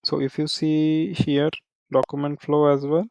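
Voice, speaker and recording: A man working as a software trainer, explaining a screen walkthrough step by step in a halting way with repeated check-in tags. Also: a little high, little pitch movement, gravelly, clear, resonant tone, no echo, poor audio.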